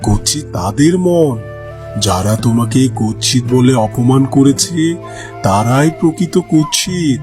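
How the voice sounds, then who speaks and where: A man's voice: mid-range voice, lively intonation, measured pace, natural voice. Man playing a kind cartoon holy man, music behind. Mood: reassuring. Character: kind, wise wandering holy man, gentle and reassuring. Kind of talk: cartoon fairy-tale character dialogue.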